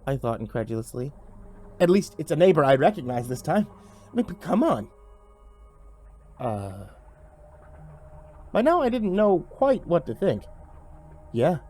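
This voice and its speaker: Animated man narrating a horror story. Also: fairly high pitch, measured pace, long pauses, soft background music. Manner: theatrical, expressive. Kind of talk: dramatic first-person scripted narration, acting out dialogue.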